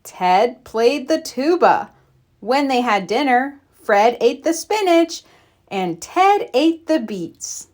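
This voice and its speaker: A woman reading a bedtime picture book aloud for young children in a deliberate, expressive storytelling manner. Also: high voice.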